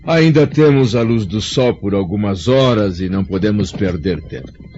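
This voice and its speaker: A man's voice: lowish voice, huge pitch swings, moderate pace, darkish tone, lo-fi sound, a little hiss or hum. A man playing a proud, dignified older Roman senator in an audio drama, speaking in a formal, solemn way. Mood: resolute, solemn.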